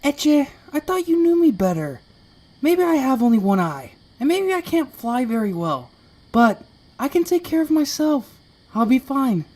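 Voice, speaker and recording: A woman's voice: high-pitched, sing-song, measured pace, dark tone, heavily acted, poor audio. A woman playing a sincere, stubborn little male creature with wounded feelings in an amateur audio drama. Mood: hurt, resolute. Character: earnest, wounded one-eyed small male flying creature.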